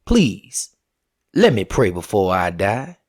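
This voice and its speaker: A man's voice: lowish voice, very animated, takes it slowly, husky, full character voice. A man voicing a sly, bossy trickster folk hero in a folktale, with a folksy, persuasive manner. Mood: pleading, praying. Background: music.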